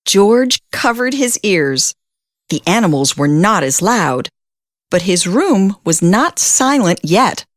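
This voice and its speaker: A woman reading a children's storybook aloud as an expressive narrator, with deliberate phrasing and drawn-out emphasis. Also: mid-range voice, dry recording, clean studio sound.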